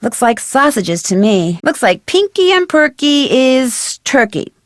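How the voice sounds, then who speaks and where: A woman voicing a curious, plucky young female amateur detective in a video game, thinking aloud in an inquisitive, expressive way. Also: high-pitched, a little slow, dry recording, studio quality. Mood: pleased. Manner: inquisitive, musing to herself, crisply expressive.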